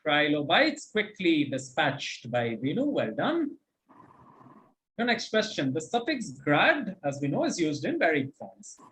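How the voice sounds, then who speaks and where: A man's voice: a little high, huge pitch swings, measured pace, fairly bright tone, rough recording. A man hosting a quiz, reading out questions and commenting on answers in an articulate, encouraging, explanatory way. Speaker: quizmaster and host.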